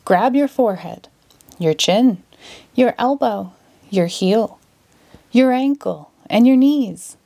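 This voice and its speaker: A woman voicing a cheerful, grandiose male stage magician in a storybook, with theatrical, drawn-out delivery. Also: fairly high pitch, expressive, creaky, warm tone, pitched above the performer's own voice, full character voice. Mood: pleased.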